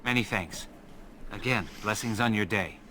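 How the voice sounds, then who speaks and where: Proud, weary elf father for a fantasy game: bright, forceful mid-range voice, faint noise behind. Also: fairly low pitch, normal speed, rough recording. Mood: formal. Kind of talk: video game voice acting.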